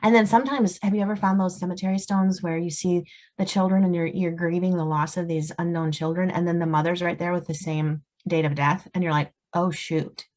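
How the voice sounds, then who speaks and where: Female author guest, American, slightly low voice. Conversational storyteller who drops in acted-out asides. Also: steady tone, a little fast, a little mellow. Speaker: guest, suspense novelist.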